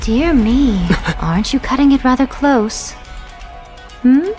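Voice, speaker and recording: Sly, unhurried spirit mentor. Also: female voice, high voice, expressive. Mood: teasing, knowing. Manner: knowing, teasing, deliberate phrasing. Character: sly, poised, teasing female shrine spirit mentor. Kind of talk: dramatic video game voice acting. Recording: music playing behind the voice.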